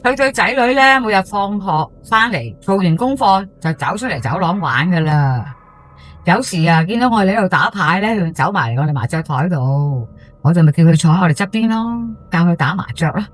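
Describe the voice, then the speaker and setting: A woman's voice: fairly low pitch, lively intonation. Woman acting a gossipy older Hong Kong housewife. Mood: gossipy, chatty. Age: 60s. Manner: colloquial, gossipy, with drawn-out sentence particles and exclamations. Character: chatty gossiping housewife in her sixties, superstitious old estate resident. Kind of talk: first-person ghost story monologue for audio drama.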